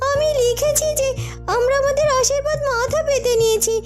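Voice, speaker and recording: Woman playing a very high-voiced hopeful maiden. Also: fairly even intonation, a little fast, over music. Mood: tender, hopeful. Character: devoted young woman, tender and hopeful.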